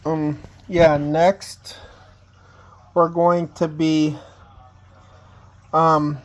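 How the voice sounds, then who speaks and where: A man giving a casual, unscripted home video tour as a hobbyist, speaking plainly and haltingly with pauses mid-sentence. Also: on the high side, flat delivery. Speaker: hobbyist vlogger showing a collection. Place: indoors at home, walking between rooms.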